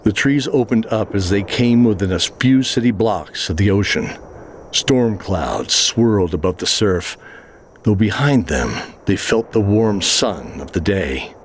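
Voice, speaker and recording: A man's voice: on the low side, lively intonation, unhurried, slight background noise. A man reading scripted narration for a fantasy adventure story.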